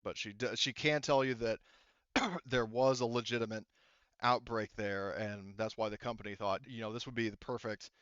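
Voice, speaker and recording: A man improvising tabletop game narration as game master, often halting and rephrasing mid-sentence. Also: on the low side, steady tone, a bit bright, smooth, no echo, muffled recording.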